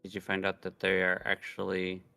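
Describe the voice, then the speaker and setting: A man joins a livestream as co-player, trading casual jokes and teasing. His voice sits fairly low with a lot of vocal fry. Soft music runs in the background. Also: completely flat delivery, moderate pace, no room sound. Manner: teasing, joking. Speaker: streamer's friend and co-player.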